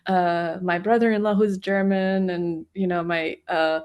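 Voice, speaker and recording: A woman from Iran whose first language is Farsi talks casually as a podcast guest. Her voice sits a little low, and she speaks haltingly, filling gaps with "um" and "uh" and restarting her sentences. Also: steady tone, darkish tone, smooth. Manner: halting, many fillers and restarts. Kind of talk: casual conversation.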